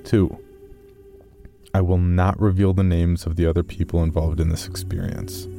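A man playing an earnest, guarded amateur caver, narrating his journal in a measured, matter-of-fact way for an audio horror drama. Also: low-pitched, flat delivery, dark tone, faint music behind. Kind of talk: first-person scripted horror narration.

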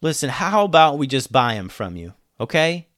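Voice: medium pitch, sing-song, normal speed, fairly bright tone, dry recording, clean studio sound, pitched above the performer's own voice. A storyteller voicing an eager, earnest young boy on an adventure in a children's story. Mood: hesitant bargaining.

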